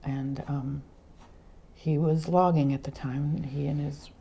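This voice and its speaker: A middle-aged woman born in Argentina and raised in Los Angeles, recalling her past with animated, laughing storytelling. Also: sits low, slow, creaky, lo-fi sound, slight background noise. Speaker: interview guest, photographer. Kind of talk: casual storytelling.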